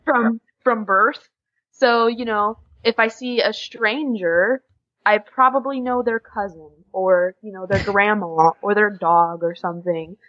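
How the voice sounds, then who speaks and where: A woman's voice: medium pitch, steady tone, a little slow, highs cut off. A young American woman chatting casually and openly as a guest in an interview. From USA. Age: young adult. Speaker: podcast guest, exchange student.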